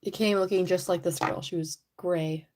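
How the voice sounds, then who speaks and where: A young woman hosting a casual livestream, chatty and giggly, her voice a little raspy from allergies. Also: fairly low pitch, steady tone, moderate pace, background noise. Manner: chatty, giggly, rambling with many "like"s. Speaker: livestream host. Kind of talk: casual livestream chat while painting a figure. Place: indoors at home.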